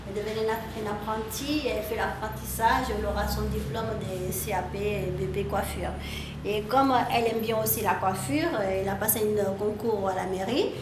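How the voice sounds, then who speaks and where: A woman's voice: mid-range voice, normal speed. A woman from the Comoros, a hairdresser talking casually and proudly about her salon while she works, often repeating words. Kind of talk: casual interview talk while working. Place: hair salon. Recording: echoey room, distant mic, background noise.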